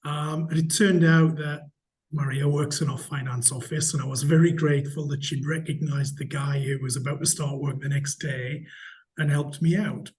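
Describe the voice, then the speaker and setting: A middle-aged man from Newcastle with a slight Geordie accent, answering interview questions in a measured, candid way with frequent restarts. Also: fairly high pitch, fairly even intonation, a little mellow. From Newcastle, England. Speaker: hotel company chief executive, interviewee.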